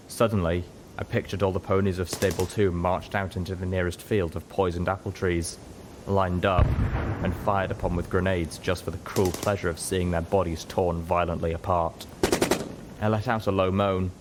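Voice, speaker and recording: Very deep-voiced man narrating dramatically. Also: background noise. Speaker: narrator, story reader. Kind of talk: dramatic first-person scripted narration.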